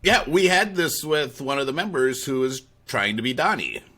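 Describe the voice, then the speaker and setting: A man's voice: medium pitch, big pitch swings, moderate pace, sharp tone. A man with a Midwestern accent, co-hosting in casual conversation, who tells stories animatedly and laughs easily. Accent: Midwestern American. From South Dakota, USA.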